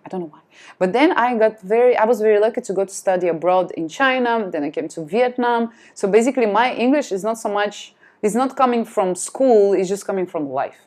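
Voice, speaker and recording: A woman whose first language is Russian, chatting as a podcast guest in a lively, self-deprecating way. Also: medium pitch, fairly quick. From France. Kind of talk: casual chat.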